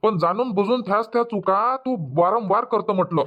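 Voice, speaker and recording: A high-pitched, irritable cartoon husband, acted by a man, grumbles and argues in a Varhadi Marathi village accent. The recording is slightly dull and lo-fi. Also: fairly quick, natural voice. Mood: irritated. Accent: Varhadi Marathi (Vidarbha). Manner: grumbling, argumentative. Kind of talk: Marathi comedy cartoon dubbing.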